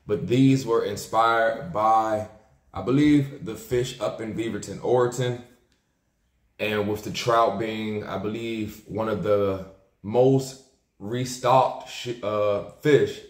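An American man in his thirties chatting casually through an unboxing vlog, laid-back and slangy, repeating his phrases. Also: lowish voice. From Maryland, United States. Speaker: YouTube sneaker unboxer. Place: small indoor room with plain wall. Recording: roomy, echoing indoor sound.

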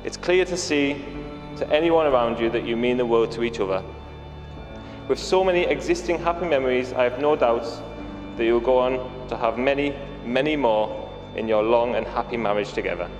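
A man giving a wedding toast as a friend of the couple, speaking warmly with small hesitations. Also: medium pitch, moderate pace. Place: wedding reception venue. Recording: echoey hall with music over it.

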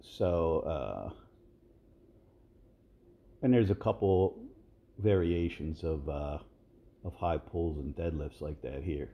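A man in his sixties giving relaxed, rambling voice-over commentary as a fitness video host, with many fillers and restarts. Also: a bass voice, takes it slowly, pauses often, dull audio. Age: 60s.

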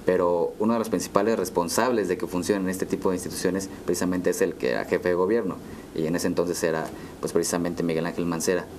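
Mexican man, thirties, co-host interviewer. Low pitch, conversational, frequent tag questions, faint noise behind. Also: fairly even intonation, fast, a little mellow. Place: dark TV studio, round table. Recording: clear background room noise.

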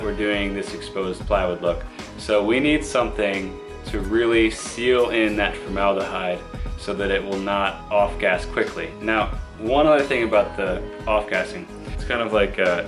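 A man in his thirties with a raspy, hoarse voice from a cold, casually explaining his bus conversion work to a handheld camera. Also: lowish voice, moderate pace. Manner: easygoing, explains step by step. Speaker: vlogger doing a bus conversion. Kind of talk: casual how-to vlog. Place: inside a gutted school bus. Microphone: camera held at arm's length. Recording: background music under voice.

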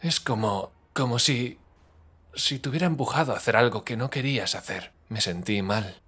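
A man's voice: fairly bright tone, breathy edge. Man voicing a reserved, hesitant anime schoolboy. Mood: hesitant, apologetic. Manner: hesitant, halting, low-key. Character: reserved, gentle, awkward teenage anime schoolboy. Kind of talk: anime fan dub acting.

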